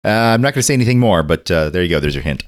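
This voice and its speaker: Animated man with a head cold. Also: low-pitched, rapid-fire. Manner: animated, jokey, drifts into bits and riffs. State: head cold, slightly gravelly. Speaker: podcast co-host. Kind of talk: casual chat.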